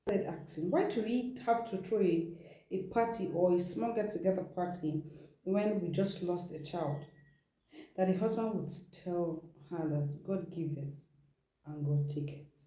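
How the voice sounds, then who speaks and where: A woman's voice: fairly low pitch, warm tone. A woman hosts an informal storytelling session, retelling someone's account in a conversational way with rhetorical asides. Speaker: storytelling host. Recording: roomy, echoing sound.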